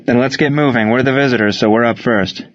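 A man's voice: medium pitch, fairly even intonation, fast, no room sound, muffled recording, natural voice. A man voicing a firm, plain-spoken baseball coach in a wartime audiobook story. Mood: calm, firm. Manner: forceful, plain-spoken, barks orders. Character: commanding male wartime baseball coach, steady but firm.

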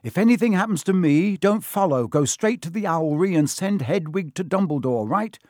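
A brave schoolboy voiced by a man, raised in pitch and animated. He sounds earnest and urgent as he takes the lead, in a dramatic audiobook style. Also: normal speed, no echo, clean studio sound. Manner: urgent, directing. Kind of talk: audiobook character acting.

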